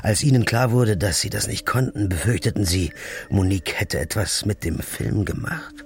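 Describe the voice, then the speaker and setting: A man's voice: on the low side, normal speed, vocal fry. An actor playing a grim, desperate man confronting the killer of his girlfriend in a radio play. He asks blunt, accusing questions, sometimes dropping to a whisper.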